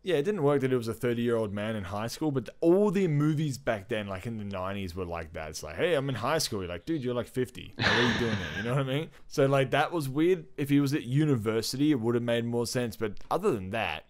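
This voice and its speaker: A man talks as the co-host of a casual pop culture podcast. His voice sits at a middling pitch, and his manner is enthusiastic and slangy. He swears freely and keeps the chat loose and informal. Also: lively intonation, fast, hardly any pauses.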